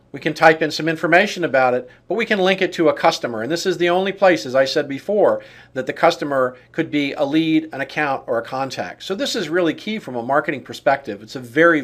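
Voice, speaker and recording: A man giving scripted instructional narration as a software trainer, in a measured, explanatory way that sets out lists item by item. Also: on the high side.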